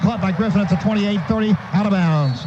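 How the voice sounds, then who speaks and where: High-pitched American man calling football on radio. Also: a little fast. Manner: clipped running phrases that swell on big plays. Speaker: play-by-play announcer. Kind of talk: live radio football commentary. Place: stadium broadcast booth. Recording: muffled noisy old radio broadcast.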